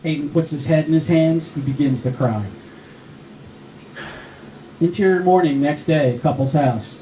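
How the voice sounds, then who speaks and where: A man's voice: fairly high pitch, unhurried. A man reading screenplay stage directions aloud as the narrator at a table read. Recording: roomy echo with clear background noise.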